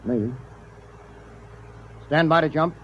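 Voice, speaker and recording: An actor playing a commanding, measured spaceship captain in an old radio drama, giving firm, terse orders. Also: male voice, fairly high pitch, fairly bright tone. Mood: tense command. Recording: muffled, noisy vintage radio recording.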